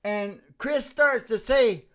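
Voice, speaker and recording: A man's voice: very high-pitched, takes it slowly, fairly bright tone. An older man hosting a music show, chatting casually and rambling in a folksy, opinionated way. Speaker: host of an amateur radio-style music show. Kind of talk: casual rambling chat between songs. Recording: acoustic guitar behind the voice. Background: acoustic guitar.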